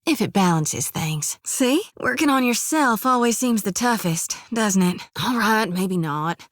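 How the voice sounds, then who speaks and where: An actress voicing a folksy, earnest young priestess of a death god in a video game, with a drawl, rambling and plainspoken. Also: female voice, medium pitch, expressive, a little slow, no echo, studio quality, close to an everyday voice.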